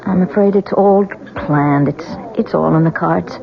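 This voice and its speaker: An actress playing an anxious, fragile young wife who longs for a child, in radio drama style. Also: female voice, on the low side, a little slow, gravelly, a crowd in the background. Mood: downcast. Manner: pleading, fragile. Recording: muffled, noisy old radio broadcast.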